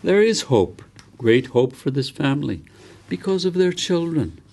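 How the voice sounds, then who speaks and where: Man reading as a solemn, creaky ancient elder. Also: a little high, expressive, a little slow, darkish tone, voice pushed up. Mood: hopeful, solemn. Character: most ancient village elder, solemn, hopeful, wise.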